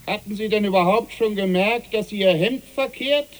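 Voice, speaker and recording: High, echoing actor as a mocking officer. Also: male voice, moderate pace, lightly acted. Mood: mocking, accusing. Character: sharp mocking officer interrogating a soldier. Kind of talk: literary radio play acting. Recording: long echoing hall reverb.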